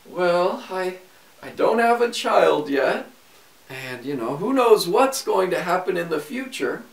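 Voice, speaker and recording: A man's voice: sits high, big pitch swings, unhurried, creaky, full character voice. A man voicing a pleading young queen. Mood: fretful. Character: desperate young queen, miller's daughter. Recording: echoey room, far from mic.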